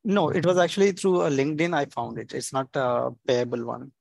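A man from India with an Indian accent, speaking haltingly as he puts a question as a caller on a conference call. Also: medium pitch, steady tone, vocal fry, darkish tone, no echo, clean studio sound. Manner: hesitant, halting. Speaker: caller seeking visa advice.

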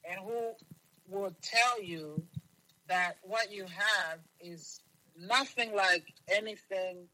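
A man from Zambia, a guest on a talk show, answering in a halting way with many restarts. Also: sits high, bright, forceful tone, low-quality recording. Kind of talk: interview answers.

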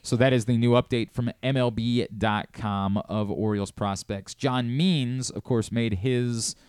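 A smooth, clear male sports radio host recorded close and dry. He talks through his analysis casually, rephrasing and stressing his points as he goes. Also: mid-range voice, steady tone, a little slow, a little mellow, no echo. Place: radio studio.

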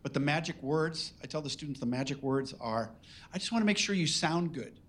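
A man's voice: on the high side, animated, a little fast, fairly bright tone, slight background noise. Wry New England man hosting a Q&A. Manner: wry, joking teacher tone. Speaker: workshop instructor, event host. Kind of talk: live event hosting, casual. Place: listening party in an event space.

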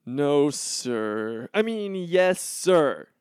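A man's voice: fairly high pitch, sing-song, drawn-out words, darkish tone, smooth, no room sound, studio quality, full character voice. A man voicing a cocky, spoiled, swaggering seventeen-year-old rich boy vigilante in a cartoon. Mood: flustered. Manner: swaggering, bratty. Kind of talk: cartoon voice acting.

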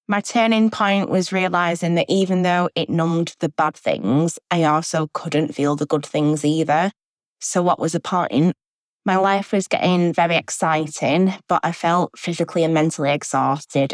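A woman's voice: low-pitched, no room sound. A woman, sharing a recorded message in an earnest, reflective way. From England. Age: 20s.

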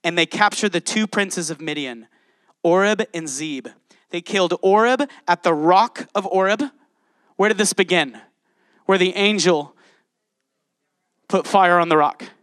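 A man's voice: high-pitched, a little slow, pauses often, a bit bright, dry recording, studio quality. A man preaching a sermon, reading scripture and speaking passionately straight to his congregation. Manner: passionate, addresses congregation directly. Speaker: pastor.

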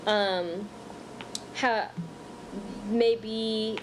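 A woman co-hosting a podcast speaks thoughtfully and a little hesitantly, often restarting her sentences. She was raised in the United States. A faint hiss and rumble sit under her voice. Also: steady tone, very slow, rough recording. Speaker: podcast co-host. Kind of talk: casual conversation.

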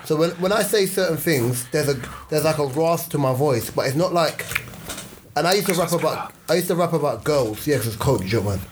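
Raspy man with a lisp. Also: a little high, expressive, fairly quick, slight background noise, low-quality recording. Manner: slight lisp. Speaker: podcast co-host. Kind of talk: casual chat.

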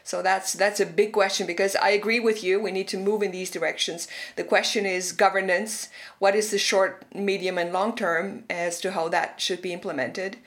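A woman's voice: medium pitch, steady tone, moderate pace, few pauses. Woman host from Romania asking winding questions. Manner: thoughtful, long winding questions with fillers. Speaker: podcast host. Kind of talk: interview questions.

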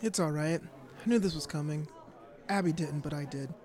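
Actor as high-voiced, apologetic young man. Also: moderate pace, darkish tone, a faint crowd, lightly acted. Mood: subdued, weary. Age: young adult. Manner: hesitant, apologetic. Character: weary, apologetic young man fed up with his life. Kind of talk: audio drama acting.

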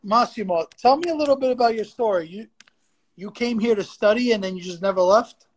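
Guy hosting a live podcast, pretty high voice, chill and friendly. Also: fairly quick, slightly rough, poor audio. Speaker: podcast host. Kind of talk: casual chat. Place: livestream podcast.

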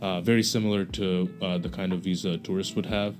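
A young man from Bangladesh talks through a process in a relaxed, halting way, full of ums and uhs. His voice sits on the lower side. A faint guitar plays behind him. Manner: hesitant, many fillers. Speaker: podcast guest. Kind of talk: casual explanation.

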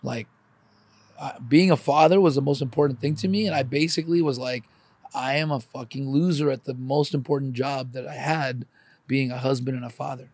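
A man's voice: mid-range voice, steady tone, fairly quick, darkish tone, no room sound. A middle-aged man in casual, confessional conversation, speaking candidly and emphatically, swearing and peppering his talk with "man" and "dude.". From born in Ukraine, emigrated at age four.

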